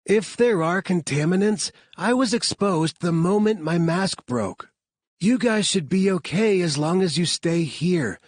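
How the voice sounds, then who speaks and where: A man's voice: high voice, animated, unhurried, no room sound, studio quality, voice pushed up. A man voicing a fierce, determined woman scientist in an audiobook, speaking urgently.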